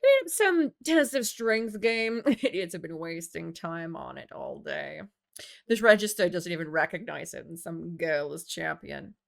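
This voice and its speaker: A woman's voice: fairly low pitch, lively intonation, measured pace. Foppish guild second-in-command, male, smug and calculating, needling delivery, fantasy story, played by a woman. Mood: scornful. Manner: smooth-talking, needling.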